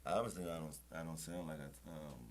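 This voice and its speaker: A man with a very deep voice talks about his music in loose, swaggering street slang. He is profane and boastful, and he keeps checking in with his listener. A faint hum of room noise sits underneath him. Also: steady tone, fairly quick. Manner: boastful, profane, repeats "you know what I'm saying". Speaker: rapper and interview guest. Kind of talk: casual interview chat.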